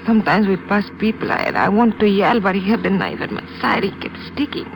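A woman's voice: medium pitch, gravelly, close to an everyday voice. Actress as wounded Mexican woman, noisy old radio. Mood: halting, distressed. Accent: Mexican Spanish. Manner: halting, pained, weak. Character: injured young Mexican-American woman, shaken crime victim. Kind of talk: 1950s radio drama acting.

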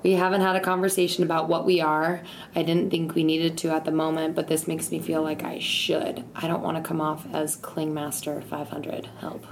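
A woman's voice: low voice, little pitch movement, moderate pace. A young woman co-hosting a podcast in casual, slangy conversation. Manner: slangy, informal. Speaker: podcast co-host. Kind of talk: casual chat. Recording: noisy room.

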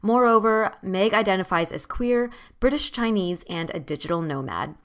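A woman co-hosting a podcast, reading a guest's introduction in a bright, scripted way. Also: mid-range voice, lively intonation, normal speed, clean tone. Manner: bright, formal, scripted delivery. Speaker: podcast co-host.

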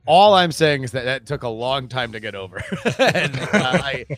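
A man co-hosting a casual political chat podcast. He talks in animated, emphatic bursts and stammers at times. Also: medium pitch, moderate pace, faint music behind. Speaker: podcast co-host.

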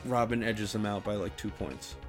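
A man co-hosting a podcast in casual, slangy banter, debating in an animated way. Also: fairly low pitch, normal speed, soft background music. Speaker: podcast co-host.

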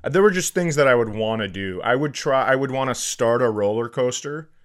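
A man's voice: medium pitch, animated, a little fast. A man co-hosting a comedy podcast, musing through hypotheticals in casual, self-deprecating chat with some easy swearing.

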